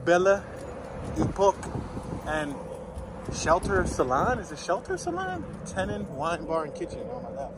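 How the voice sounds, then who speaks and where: A man's voice: fairly high pitch, big pitch swings, measured pace. A man in his early thirties giving a casual walking tour, speaking informally and reading out signs and street names as he goes. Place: city sidewalk outdoors, while walking. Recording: outdoor street traffic and ambient noise.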